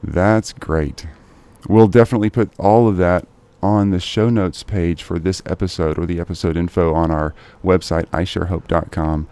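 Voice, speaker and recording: A man with a very deep voice hosts a relaxed interview, upbeat and encouraging, filling his questions with "uh" and "so". His voice is smooth and resonant, and the sound is dry and close. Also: normal speed, no room sound. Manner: upbeat, encouraging, lots of fillers. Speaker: podcast host. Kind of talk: casual interview.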